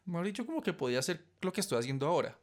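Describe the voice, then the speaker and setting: A man's voice: on the high side, big pitch swings, very fast. A man with a Colombian accent answering casually in an interview as a musician, hesitating and correcting himself as he goes.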